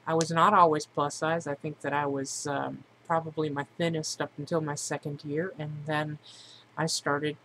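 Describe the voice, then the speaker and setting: Candid middle-aged woman over clear background noise. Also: sits low, little pitch movement, moderate pace, a bit bright. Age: 40s. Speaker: vlogger introducing themself. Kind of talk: personal monologue to camera, casual. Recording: clearly noisy amateur recording.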